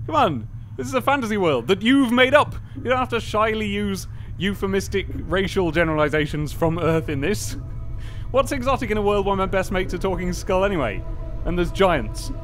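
A British man hosts a video game playthrough in a fairly high, very animated voice. He is playful and wry, moving between casual sardonic chat and theatrical reading aloud. Game music plays behind him. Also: moderate pace. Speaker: let's play host. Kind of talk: casual gameplay commentary with dramatic read-aloud.

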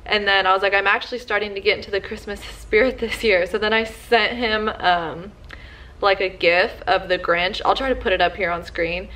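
A woman's voice: medium pitch, fairly even intonation, normal speed, pushed and bright, slight background noise, low-quality recording. Young woman vlogger, casual haul chatter, talks to a camera in her living room. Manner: chatty, lots of "like" fillers. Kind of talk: casual shopping haul chat.

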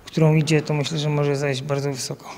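A man's voice: a little high, little pitch movement, a little fast, a little mellow, big-room sound, slight background noise. A man in his thirties, a coach, answering interview questions outdoors into a handheld mic, with many fillers and restarts. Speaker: national team coach. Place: outdoors by a banner at an event. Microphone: handheld mic held by interviewer.

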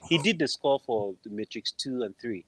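A man's voice: mid-range voice, animated, normal speed, fairly bright tone, poor audio. A man with a Nigerian accent, an interview guest talking casually on a video call, often searching for words and repeating phrases. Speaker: interview guest, film composer. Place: room at home, on a video call. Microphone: wired earphones on a video call.

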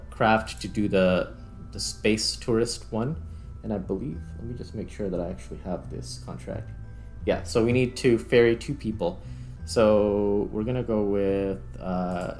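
Male gaming host, lowish voice, informal and thinking aloud, music underneath. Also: a little mellow. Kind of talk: casual let's-play commentary. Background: background music.

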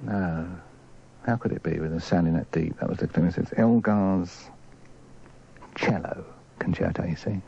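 A man's voice: low-pitched, unhurried, creaky, pauses often, dark tone, slightly rough, highs cut off. A man hosting a live radio quiz in an emphatic, exasperated manner, on an old radio tape. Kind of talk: live phone-in quiz chat.

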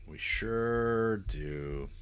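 A man's voice: fairly low pitch, expressive, extremely slow, smooth. A man co-hosting a podcast, chatting casually with wry, dry jokes. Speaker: podcast co-host. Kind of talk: casual banter.

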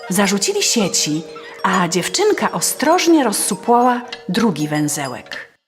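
A woman's voice: medium pitch, very animated, unhurried. A woman narrating a scripted fairy tale as a storyteller. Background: faint music.

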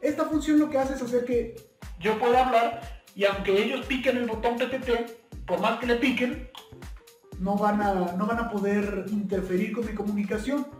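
A man's voice: very high-pitched, moderate pace, faint music behind. A young man with a Mexican accent giving an informal tutorial, addressing viewers in a friendly, direct way. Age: young adult. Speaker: video tutorial host. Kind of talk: informal instructional explanation with practical examples. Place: small bare indoor room at a table. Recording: echoey bare room, distant microphone.

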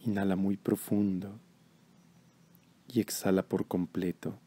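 A man leading a guided meditation in a calm, measured, instructional way. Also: low voice, slightly rough.

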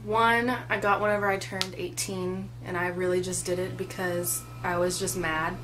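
A woman's voice: fairly low pitch, little pitch movement, moderate pace, noisy background. A woman in her twenties chatting casually to a webcam in a small room, with lots of "like" and "um" fillers. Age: 20s. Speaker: co-host. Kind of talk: casual chat. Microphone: webcam at desk distance.

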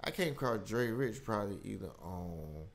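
Gravelly, low-voiced American man. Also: expressive, moderate pace. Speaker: podcast host. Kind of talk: casual reaction commentary.

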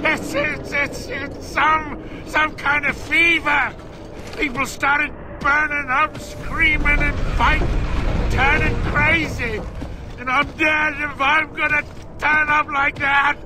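High, frantic, crazed old man. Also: flat delivery, takes it slowly, background noise. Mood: panicked, raving. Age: older. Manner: agitated, shouting. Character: frantic, crazed old male survivor, desperate. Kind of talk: video game voice acting. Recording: music and effects behind.

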